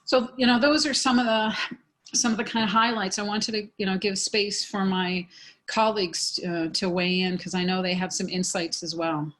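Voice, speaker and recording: A woman's voice: medium pitch, steady tone, moderate pace, a bit bright. Woman, professor on a panel, earnest, explanatory, repeats herself for emphasis. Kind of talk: panel discussion.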